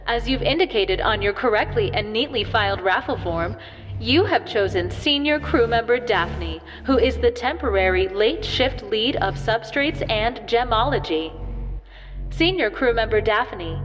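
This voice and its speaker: A woman playing a haughty ship announcer in an audio comedy, formal and falsely cheery over a public address system. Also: on the high side. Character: officious, haughty ship announcer reading crew notices. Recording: dull, reverberant PA with faint music.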